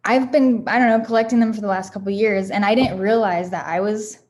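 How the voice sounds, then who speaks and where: A woman's voice: medium pitch, fairly even intonation, brisk, darkish tone. A woman giving a casual motivational talk about affirmations, candid and rambling, with many fillers and restarts. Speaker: founder sharing self-help affirmations.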